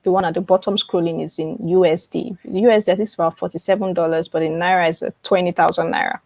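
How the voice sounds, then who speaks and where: A woman's voice: lowish voice, fairly even intonation, fast, creaky, a little mellow, no room sound. A woman teaching and promoting informally on a live webinar, often repeating phrases and checking in with her viewers. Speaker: online publishing coach hosting a live webinar.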